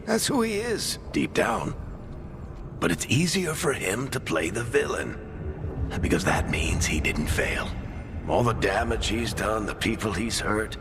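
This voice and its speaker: A very deep male game character, a weary and wry friend with fatherly warmth. His intonation rises and falls dramatically in an informal, unhurried way, with music playing strongly behind him. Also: very animated. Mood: gentle, wry farewell. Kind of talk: cinematic game dialogue acting.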